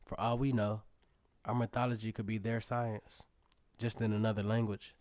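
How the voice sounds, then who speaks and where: A man's voice: fairly low pitch, level tone, moderate pace, darkish tone, no echo, close to an everyday voice. A man playing a curious, adventurous young storyteller, narrating a scripted audio drama in the first person. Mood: intrigued, musing.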